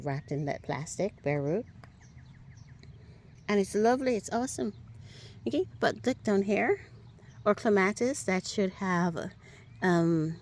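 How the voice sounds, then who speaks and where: A woman's voice: lowish voice, a little mellow, a little hiss or hum. Upbeat chatty woman strolling a garden. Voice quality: a little hoarse, clears throat. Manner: chatty, upbeat, keeps asking "right?". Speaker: garden vlogger. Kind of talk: casual walking garden tour. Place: outdoor garden and driveway.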